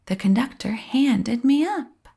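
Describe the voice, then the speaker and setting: A woman reading a children's story aloud with expressive, drawn-out phrasing and a breathy edge at the ends of phrases. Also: fairly high pitch. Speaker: storybook reader.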